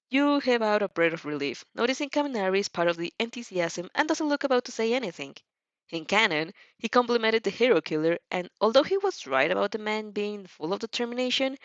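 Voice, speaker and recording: Lively woman reading stories, dull dry recording. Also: mid-range voice, no room sound. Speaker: fan fiction reader. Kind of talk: animated second-person story narration.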